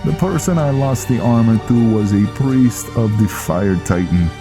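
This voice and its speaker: A man's voice: mid-range voice, moderate pace, over music, voice pushed up, lightly acted. A man voicing a loyal, roguish vampire knight vanguard in a theatrical, earnest manner for a game roleplay. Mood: sheepish, coaxing.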